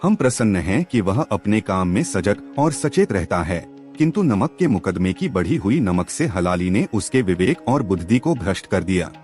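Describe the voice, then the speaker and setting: A man's voice: lowish voice, big pitch swings, normal speed, a little mellow, soft background music. A man voicing a condescending magistrate in a story, reading a ruling in a formal, official manner. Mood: formal reproach.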